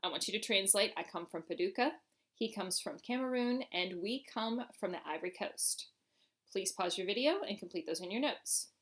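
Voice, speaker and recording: A woman's voice: medium pitch, expressive. Woman teaching a French lesson. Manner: instructional, enunciates French examples slowly and deliberately. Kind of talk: recorded grammar lesson, explanatory.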